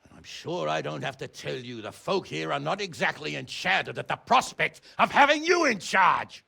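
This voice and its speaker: An actor playing a stern, exasperated noble uncle in a medieval video game, blustering, sarcastic and blunt. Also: male voice, a little high, very animated, moderate pace, gravelly, sharp tone, full character voice. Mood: angry scolding. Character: stern, exasperated noble uncle scolding his wayward ward. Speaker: medieval lord and guardian.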